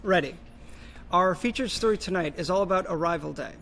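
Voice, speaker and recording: A man's voice: sits high, a little fast, vocal fry, fairly bright tone, a little hiss or hum. A young man from New Jersey co-hosting a scripted show, friendly and easygoing. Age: young adult. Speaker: youth co-host.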